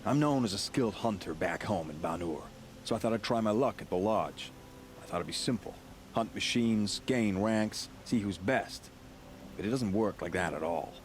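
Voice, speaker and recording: A man's voice: lowish voice, lively intonation, normal speed, a little hiss or hum, lightly acted. An actor playing a blunt, disgruntled male hunter in a video game, speaking in a dry, sardonic way. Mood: bitter. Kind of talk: video game dialogue acting.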